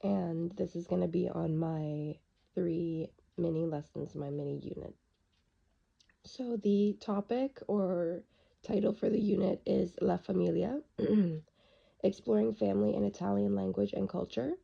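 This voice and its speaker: A young woman who is losing her voice, with a raspy tone, reading through her unit plan for a class presentation. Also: fairly low pitch, measured pace. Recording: dull lo-fi recording.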